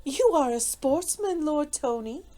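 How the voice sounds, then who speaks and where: A woman's voice: on the high side, lively intonation, measured pace, mellow, voice pushed up. A woman voicing a merry, witty society lady with a playful, mocking manner in an audiobook.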